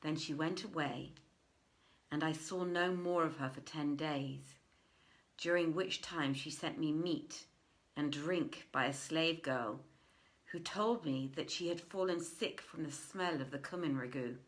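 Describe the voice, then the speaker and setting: A middle-aged woman reads old tales aloud with theatrical weight. Her voice sits slightly low, and she takes her time, moving very slowly and setting phrases apart with deliberate, emphatic pauses. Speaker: storyteller reading aloud. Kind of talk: theatrical live reading of old tales in archaic English. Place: indoors, living room by a fireplace.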